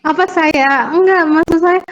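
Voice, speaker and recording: A woman's voice: very high voice, fairly even intonation, moderate pace, dull audio. A woman student with an Indonesian accent asking a question in a hesitant, unsure way.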